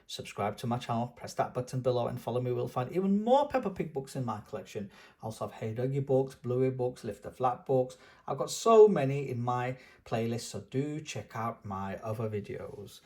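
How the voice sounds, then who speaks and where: A man's voice: mid-range voice, expressive, normal speed, darkish tone. A man hosting a children's read-aloud, narrating a storybook in an enthusiastic manner. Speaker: children's book reader and channel host.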